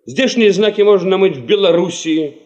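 An actor playing a principled, sceptical, cautious geologist who leads a field party in a Soviet radio drama, speaking formally and precisely. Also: male voice, sits high, fairly quick, a little mellow, lo-fi sound, natural voice. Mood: scornful, firm.

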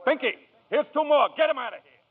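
An actor playing a mean, bullying bartender with gruff street-tough talk, barking in 1940s radio drama style. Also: male voice, very high-pitched, lively intonation, brisk. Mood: scornful, barking. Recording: tinny narrow-band old radio recording.

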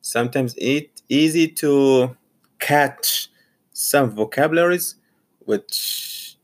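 A man from Saudi Arabia, a graduate student and teacher whose first language is Arabic, talking casually about learning English. He speaks haltingly and often repeats or restarts his phrases. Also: medium pitch. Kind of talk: casual personal monologue.

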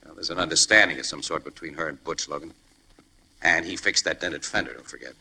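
Gruff, low-voiced wisecracking undercover tough guy. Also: fast talker, sharp tone, heavily acted, low-quality recording. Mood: confiding. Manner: wisecracking, slangy, nonchalant. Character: tough-guy crime photographer posing as ex-convict garage hand. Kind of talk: 1940s radio crime drama acting. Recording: old-time radio broadcast recording.

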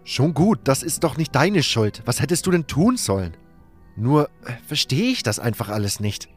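A man's voice: mid-range voice, very animated, normal speed, faint music behind. A man playing a determined, loyal male hero on edge in audiobook dialogue. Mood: concerned. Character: determined nameless male hero, alarmed but loyal friend.

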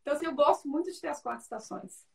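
A woman's voice: high-pitched, expressive, rapid-fire, creaky, a little mellow. A woman with a Brazilian Portuguese accent, chatting as an interview guest over a video call, full of tag questions and restarts. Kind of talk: casual conversation. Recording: voice distant from the mic.

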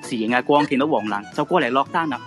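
A man's voice: mid-range voice, fast talker, fairly bright tone, faint music behind. A man with a Hong Kong Cantonese accent narrating a radio drama in scripted, dramatic storytelling style.

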